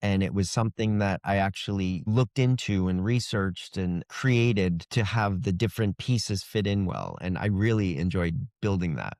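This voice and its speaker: A man with a fairly low voice, talking as a guest author in a casual interview. He explains things informally in loose, conversational phrases, and the sound is very dry. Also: animated, moderate pace, hardly any pauses, a little mellow, no echo.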